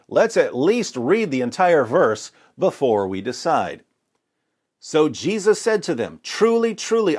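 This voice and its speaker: A man presenting scripted religious commentary and scripture readings in a dramatic, rhetorical manner. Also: fairly high pitch. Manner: dramatic, rhetorical, sarcastic mock alarm. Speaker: apologetics presenter.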